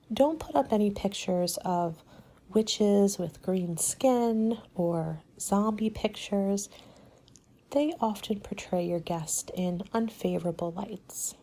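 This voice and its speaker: A woman playing a courteous, helpful party planner in a soft-spoken ASMR roleplay, with a measured, polite, advisory manner and soft, breathy delivery. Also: medium pitch, a little hiss or hum.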